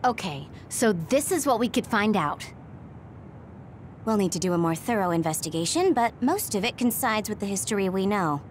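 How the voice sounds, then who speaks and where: An actress playing a brisk, spirited, capable young woman in an anime game dub. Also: medium pitch, expressive, slight background noise, close to an everyday voice.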